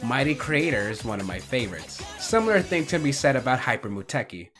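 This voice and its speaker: Male countdown host, casual commentary, mid pitch, loud music underneath. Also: very animated, a little fast.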